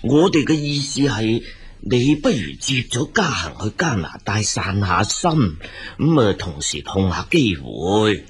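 A husky old father from a radio play, with a Hong Kong Cantonese accent. His low voice swings widely in pitch as he sighs, grumbles and pleads, weary and exasperated. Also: very animated, heavily acted. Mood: worried, persuading. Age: older. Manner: sighing, drawn-out complaining. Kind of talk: radio drama acting.

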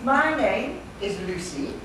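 A woman's voice: mid-range voice, lively intonation, takes it slowly, noisy background. A woman acting a quirky manager in an amateur stage play, with a theatrical sing-song delivery. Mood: friendly. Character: quirky friendly woman manager who sings her sentences. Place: indoor theatre stage before an audience. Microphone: far camera. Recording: echoey theatre stage, distant camera mic, music.

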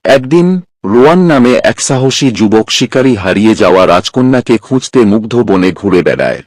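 Male storyteller for scripted children's fairy tale narration, lower register, dry close-miked sound. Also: darkish tone, dry recording.

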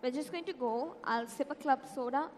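A woman's voice: high-pitched, normal speed, slight background noise, close to an everyday voice. A woman playing a polite, flustered working mother in a stage comedy, hesitant and earnest. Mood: defensive, smitten. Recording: echoey hall with music.

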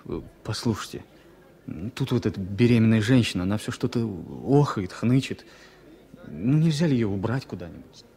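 An actor playing a fussy, superstitious former pilot as a passenger, hesitant yet insistent, in a 1970s Soviet radio play. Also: male voice, fairly low pitch, animated, moderate pace, mellow. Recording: faintly noisy vintage radio recording.

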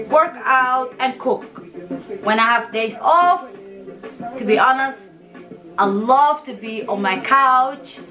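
A fairly high-voiced woman in her thirties talks straight to the camera in a casual vlog, frank and lively, gesturing as she goes. She sits in a small room at home, a little back from the camera. Music plays clearly underneath her voice. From Yemen and Somalia. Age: 30s. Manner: frank, animated, gestures with hands. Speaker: vlogger. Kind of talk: casual direct-to-camera chat. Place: small home room, seated in a hanging chair. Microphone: camera in front, mid distance. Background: music playing in the background.

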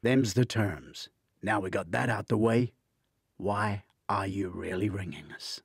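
A man voicing a gruff, hard-bargaining crime agency boss, curt and folksy, in an audiobook thriller. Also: on the low side, measured pace, no echo, studio quality, heavily acted. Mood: curt, firm. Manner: curt, tough, folksy negotiating.

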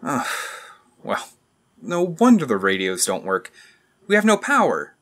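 A man's voice: mid-range voice, wildly swooping pitch, a little slow, a bit bright, heavily acted. A man acting an uneasy character on a park tour in an audio drama. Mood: uneasy, reassuring.

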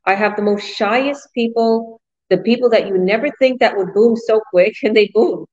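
A woman hosting an online business talk over a video call, pitching with enthusiastic, repetitive sales energy. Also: moderate pace, a little mellow. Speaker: host. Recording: muffled video call audio.

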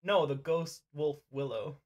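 A game master with a mid-pitched voice narrates a tabletop roleplaying game over an online voice call. The narration is informal and playful. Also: measured pace, a little mellow.

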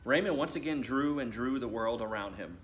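An American man, a school principal in his thirties, reading a storybook aloud to children with deliberate phrasing and addressing them directly. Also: mid-range voice, lively intonation. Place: school library, indoors.

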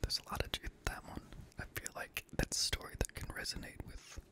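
A young man reading scary stories in a hushed, breathy ASMR whisper, gently, close to a studio microphone. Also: brisk. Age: young adult. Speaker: host and reader of scary stories. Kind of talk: whispered ASMR horror story narration. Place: small indoor home studio room. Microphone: close boom-arm studio microphone.

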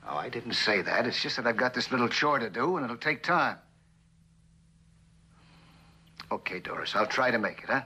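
A man's voice: medium pitch, expressive, fast talker, pushed and bright, husky, full character voice. An American actor playing a jaded, sardonic middle-aged businessman in a 1960s television drama. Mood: hesitant, obliging. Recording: noisy old TV film soundtrack.

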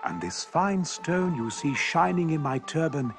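A middle-aged man reading a first-person adventure tale aloud, theatrical and shifting from hushed to booming. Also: lively intonation, measured pace, music in the background. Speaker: storyteller.

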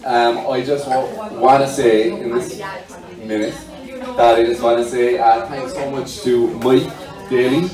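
A man giving a short, hesitant thank-you speech at a salon launch, rushing through his words. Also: medium pitch, fairly even intonation, recorded from a distance, music in the background. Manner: hesitant, grateful, rushes words. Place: salon opening, large indoor room. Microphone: stand mic, recorded from far off. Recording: echoey room, crowd, heard from afar.

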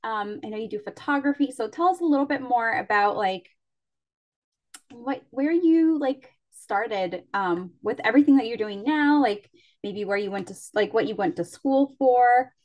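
A woman's voice: a little high, expressive, normal speed, darkish tone, highs cut off. A woman hosting a podcast interview, talking casually with lots of fillers and joking about herself. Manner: chatty, self-deprecating, many fillers. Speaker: podcast host.

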